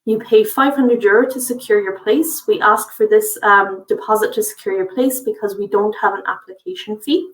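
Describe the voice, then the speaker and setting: A woman from a college's international office talks through a webinar presentation in a friendly, informative way, filling pauses with uh and um. She sits back from her microphone, and the room rings around her voice. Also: medium pitch, reverberant, distant mic, poor audio. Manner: friendly, informative, frequent uh and um fillers.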